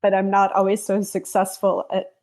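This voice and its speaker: A woman from the United States, a travel journalist, answering interview questions in a thoughtful, halting way. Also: medium pitch, completely flat delivery, mellow. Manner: thoughtful, with restarts. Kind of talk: conversational interview.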